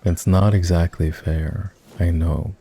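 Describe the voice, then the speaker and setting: A man playing an ex-boyfriend turned boss in an intimate roleplay ASMR, talking in a halting, conversational way. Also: deep voice, level tone, vocal fry, warm tone, slightly rough, full character voice. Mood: vulnerable, regretful. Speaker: voice actor.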